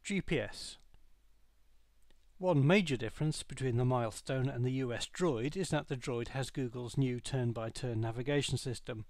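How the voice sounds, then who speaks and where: A man reading a scripted product review as narration, in a measured, matter-of-fact way. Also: mid-range voice, creaky, darkish tone, no echo, clean studio sound.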